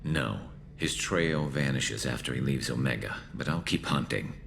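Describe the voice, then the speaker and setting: Very deep, gravelly alien vigilante. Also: male voice, a bit bright, slight background noise, full character voice. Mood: bitter, determined. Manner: measured, dry, brooding. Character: grim alien mercenary vigilante, male, haunted and vengeful. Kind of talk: video game dialogue acting.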